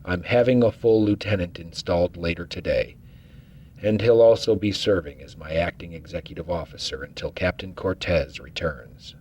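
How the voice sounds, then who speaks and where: A man playing a steady acting starship captain who gives calm orders with dry humor in a fan audio drama. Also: low voice, normal speed, breathy edge.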